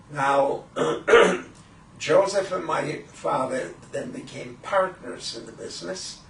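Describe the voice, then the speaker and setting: Slow-spoken elderly man, roomy recording. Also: medium pitch. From Poland. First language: Yiddish. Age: 80s. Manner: halting, deliberate, frequent throat clearing. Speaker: Holocaust survivor giving testimony. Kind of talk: oral history recollection. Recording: roomy, distant microphone with faint noise.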